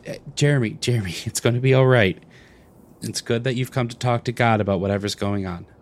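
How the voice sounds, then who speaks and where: A patient male priest played by an actor in a comedic audio drama. He talks in a natural, hesitant but reassuring way at a medium pitch, with music faintly around him. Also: fast talker, close to an everyday voice. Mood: soothing.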